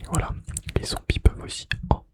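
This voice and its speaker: A man speaking in a breathy, unvoiced whisper close to the mic, sharing gaming trivia ASMR-style and softly repeating key phrases. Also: fairly quick. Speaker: ASMR video creator. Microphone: close microphone.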